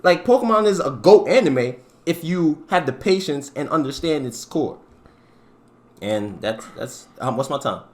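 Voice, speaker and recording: A young man co-hosting a podcast, making a relaxed, joking case in a casual debate. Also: fairly high pitch, a little slow.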